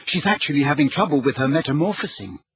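A man's voice: fairly high pitch, sing-song, fast talker, husky, pitched above the performer's own voice, full character voice. A man voicing an earnest, indignant, clever teenage girl who explains insistently in an audiobook story. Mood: earnest, explaining.

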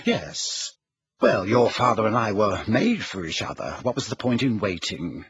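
A man voicing a sharp, careworn middle-aged mother, brisk and firm, in an audiobook story. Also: on the low side, expressive, breathy edge, muffled recording, heavily acted. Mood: defensive, doubtful.

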